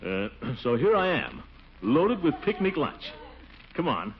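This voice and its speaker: A man's voice: fairly high pitch, huge pitch swings, measured pace. An actor playing a blustery, eager, pompous middle-aged American man in a 1940s radio comedy. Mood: jovial, coaxing. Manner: blustery, exclaiming, hemming. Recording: muffled, noisy 1940s radio recording.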